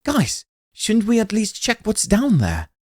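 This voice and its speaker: Man doing an eager, high-pitched young girl. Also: wildly swooping pitch, a little slow, no room sound, studio quality, full character voice. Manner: eager, loud exclamations.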